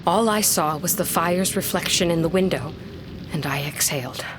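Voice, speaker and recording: An actress playing a young woman who recounts a frightening vision in a gothic audio drama, fearful yet curious, in a deliberate, suspenseful way. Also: fairly low pitch, background noise, close to an everyday voice. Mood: tense, haunted. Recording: loud dramatic music under the voice.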